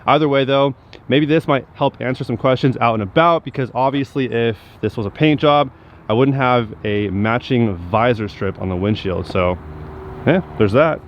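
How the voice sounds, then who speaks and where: Upbeat casual man vlogging over vehicle noise. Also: mid-range voice. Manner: informal, slangy, upbeat. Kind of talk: casual vlog chat to viewers. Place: in and around a truck. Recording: steady vehicle and outdoor background noise.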